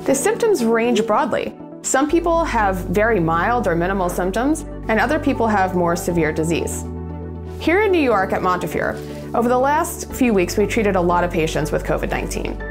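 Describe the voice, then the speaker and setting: A woman physician calmly explaining illness symptoms in a measured, informative way. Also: mid-range voice. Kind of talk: explanatory clip on medical symptoms. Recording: inserted clip with faint music.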